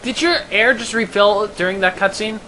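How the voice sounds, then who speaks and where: A man's voice: sits high, moderate pace, sharp tone, slight background noise, poor audio. A man giving casual, incredulous, questioning commentary in group gaming banter. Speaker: let's play commentator.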